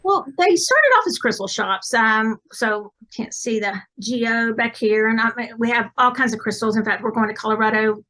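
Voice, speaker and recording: A woman's voice: fairly high pitch, normal speed, hardly any pauses, bright, forceful tone. A woman with an East Texas accent, a psychic medium chatting as a podcast guest in a rambling, self-correcting way. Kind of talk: casual interview chat.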